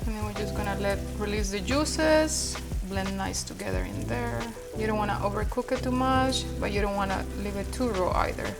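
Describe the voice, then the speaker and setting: A woman's voice: mid-range voice, fairly quick, few pauses, over loud music. Chatty Colombian woman hosting a cooking video. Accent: Colombian Spanish. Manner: warm, chatty, guiding viewers step by step. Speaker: cooking channel host. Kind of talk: cooking vlog demonstration. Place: home kitchen.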